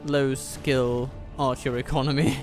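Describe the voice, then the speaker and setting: A man's voice: medium pitch, flat delivery, a little slow, over music. A man whose first language is Danish, giving casual, wry commentary while playing a strategy game and laughing at his own jokes. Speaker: let's play host.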